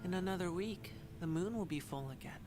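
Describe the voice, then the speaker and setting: An actor voicing a calm, mysterious ghostly boy in a video game, speaking softly and solemnly. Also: mid-range voice, brisk, natural voice. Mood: cryptic. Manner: soft, solemn. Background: game music.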